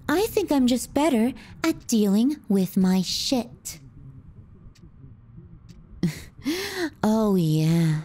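A woman playing a teasing, callous, clingy younger sister in an intimate ASMR roleplay, sardonic and often hushed. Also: mid-range voice. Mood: mocking. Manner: sardonic, needling, dropping into hushed murmurs.

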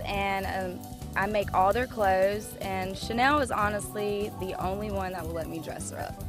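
An American woman in her thirties, an informal, candid guest in a casual outdoor interview, picked up on a handheld microphone. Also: mid-range voice. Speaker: interview guest, pet accessory maker. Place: outdoors in a dry hillside yard. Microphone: reporter's handheld microphone held toward them.

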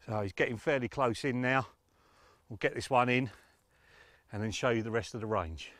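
A man's voice: medium pitch, normal speed, a bit bright, no echo. Middle-aged man, dry close sound. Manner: matter-of-fact, explanatory. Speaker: angling presenter. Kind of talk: informal fishing tips to camera. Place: outdoors on a lakeside bank.

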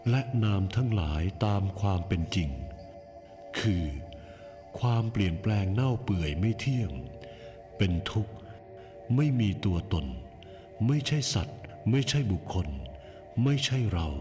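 A man playing the Buddha in an animated film, a calm, wise teacher speaking in a solemn, measured way with gentle instruction. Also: on the low side, music in the background. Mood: solemn, instructive. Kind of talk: scripted animated film dialogue.

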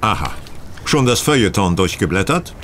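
An actor playing a self-assured, wry gentleman detective in a radio play, explaining in a measured, teasing way. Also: lowish voice, big pitch swings, a little hiss or hum. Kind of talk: German radio play acting.

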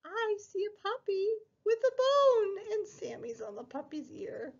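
A young woman hosting a preschool story time, reading a picture book in a sing-song, exaggerated way with drawn-out words. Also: extremely high pitch, very animated, muffled recording. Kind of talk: picture book read-aloud for small children.

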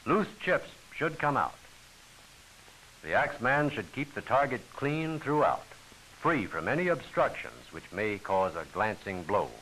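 A man's voice: medium pitch, very animated, a little slow, a bit bright, slight background noise, low-quality recording. A man giving formal, instructional scripted narration for a training film, on an old film soundtrack.

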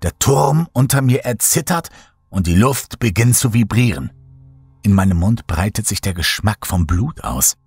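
Male horror-audiobook reader; husky, fairly low, measured and dramatic, dry close recording. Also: lively intonation, a little mellow, no echo. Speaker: horror story narrator. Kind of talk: scripted audiobook narration.

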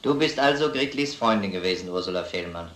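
An actor playing an earnest, methodical police lieutenant, speaking deliberately and plainly in an old crime drama. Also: male voice, deep voice, expressive, fairly bright tone. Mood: probing. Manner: deliberate, plain-spoken, addresses children gravely. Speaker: police investigator. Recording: echoey, noisy old film soundtrack.

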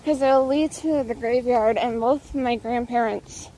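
A woman's voice: on the high side, a little slow, mellow, slight background noise, poor audio. A young woman chatting casually while walking outdoors, filming herself on a phone at arm's length, a little out of breath. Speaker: vlogger. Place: outdoors on a suburban path. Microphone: phone held at arm's length.

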